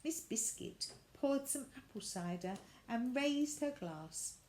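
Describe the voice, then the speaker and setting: Female storybook narrator, slow and deliberate, lively intonation, home recording with faint background noise. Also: high-pitched. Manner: deliberate, expressive. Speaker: children's story reader.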